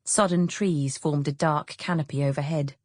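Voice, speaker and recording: An English woman narrates a novel with expressive, scripted delivery. Her voice sits low and has a husky grain. The studio sound is close and dry. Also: normal speed, no echo. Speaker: audiobook narrator.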